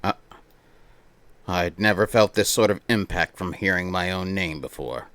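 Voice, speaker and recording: A man livestreaming as he reads a visual novel aloud, mixing theatrical narration with playful, excitable banter in a close, dry-sounding setup. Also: deep voice, normal speed, no echo.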